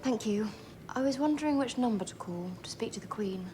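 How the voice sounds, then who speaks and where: An actress playing a lonely, polite young English fiancée of a prince in a screen drama, over background noise. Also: female voice, on the high side, animated, a little fast, natural voice.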